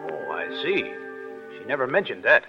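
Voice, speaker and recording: A man's voice: medium pitch, sing-song, moderate pace, loud music behind the voice, close to an everyday voice. An actor playing a brash, self-effacing American Foreign Legion private in 1930s radio drama, with blunt, slangy tough-guy talk. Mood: awkward, probing. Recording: vintage 1930s radio, dull, hall reverb.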